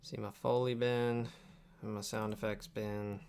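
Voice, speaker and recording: A man's voice: lowish voice, flat delivery, unhurried, vocal fry, a little mellow, clear, resonant tone. A young man thinking aloud in a casual live stream as he works at his computer, distracted and prone to swearing. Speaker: video editor streaming live. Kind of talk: casual live-stream muttering while working. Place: indoor room at a computer desk. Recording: clicks and keyboard noise. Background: mouse clicks, keyboard typing.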